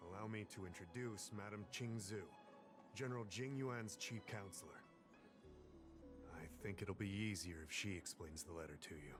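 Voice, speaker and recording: A low-pitched, courteous male civil servant from a fantasy game, played by a man. He speaks formally and earnestly in measured, scripted lines. Music plays clearly behind him. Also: close to an everyday voice. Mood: troubled. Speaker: game character, government official. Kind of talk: scripted video game dialogue.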